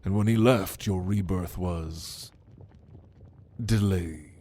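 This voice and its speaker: A low, very slow demon voiced by a man in an ASMR roleplay, haughty and menacing. He addresses the listener with deliberate, dryly teasing intimidation, over clearly audible background ambience. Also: warm tone, slight background noise. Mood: musing, sardonic. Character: menacing male demon, prince's right hand, haughty and hungry.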